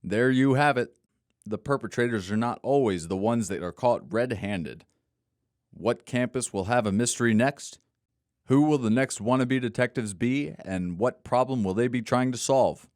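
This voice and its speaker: A man's voice: mid-range voice, normal speed, a little mellow, dry recording, studio quality. A man narrating a scripted mystery audio drama as its storyteller.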